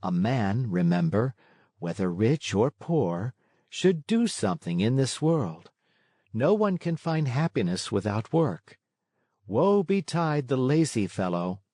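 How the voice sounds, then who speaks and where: A man voicing a motherly fairy woman in a storybook, tender and measured, kind but firm as she moralizes. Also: mid-range voice, very animated, warm tone, no room sound, clean studio sound. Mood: gently lecturing.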